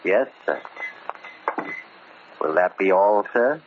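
An actor playing a formal, deferential movie-style butler in an old-time radio crime drama. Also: male voice, low-pitched, animated, slow. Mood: stiffly polite. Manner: stiffly polite, formal. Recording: muffled, band-limited, noisy vintage radio recording.

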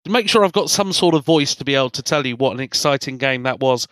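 A man's voice: medium pitch, expressive, fast, fairly bright tone, no echo. A man with a congested, cold-affected voice hosts a sports podcast, recapping matches in a rambling, enthusiastic, conversational way.

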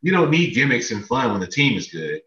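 A man's voice: mid-range voice, sing-song, fairly quick, pushed and bright. A middle-aged man talking casually and emphatically about sports as a podcast guest, often repeating words for stress. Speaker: podcast guest, basketball association president. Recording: muffled, roomy, distant mic.

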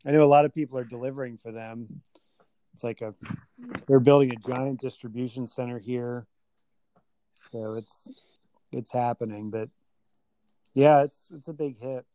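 A man's voice: medium pitch, steady tone, moderate pace, long pauses, dark tone, no room sound, poor audio. A man hosting a casual podcast chat with a friend, tired after a long day and rambling with many fillers.

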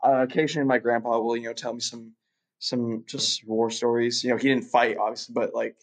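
A man's voice: mid-range voice, flat delivery, fairly quick. A young man from Russia, a guest chatting casually in an interview, rambling with lots of fillers and restarts.

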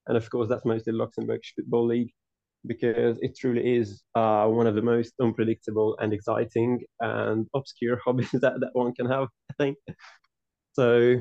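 Gravelly man, dull sound. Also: medium pitch, monotone, moderate pace, dark tone, dry recording. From Hungary. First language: Hungarian. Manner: earnest, long winding answers with many restarts. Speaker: podcast guest, football writer. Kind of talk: casual interview answers.

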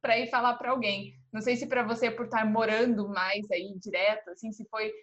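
A woman's voice: fairly high pitch, level tone, normal speed, fairly bright tone, dull audio. A woman hosting a casual interview, asking thoughtful questions and sharing memories. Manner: curious, reflective questions. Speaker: host.

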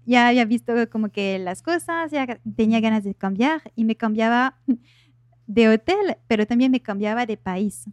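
A woman's voice: on the high side, expressive, moderate pace, no echo. A woman from France with a French accent, a native French speaker chatting as an interview guest and searching for words in her second language. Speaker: podcast guest, life coach. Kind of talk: casual interview chat.

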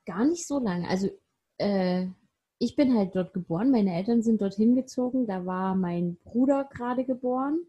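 A young woman from Saxony-Anhalt chatting casually and telling stories in a measured way. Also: mid-range voice, warm tone, slightly rough, dull audio. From Saxony-Anhalt, Germany. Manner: measured, storytelling. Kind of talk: casual chat.

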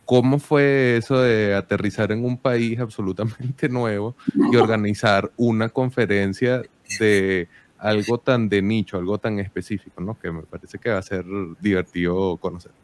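A man hosts a relaxed interview with a fairly low voice. He talks at length and asks long, winding questions. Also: moderate pace, no room sound. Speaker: interviewer, host. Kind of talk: casual interview.

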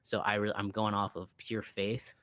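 A man's voice: on the low side, level tone, moderate pace, a bit bright. A man co-hosting a casual film discussion in an informal, sardonic way. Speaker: podcast co-host.

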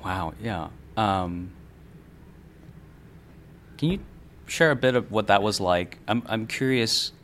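A man's voice: lowish voice, slow, long pauses, a bit bright, a little hiss or hum. A man hosting an interview, asking casual questions and affirming often. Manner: affirming, many yeahs and fillers. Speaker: podcast host.

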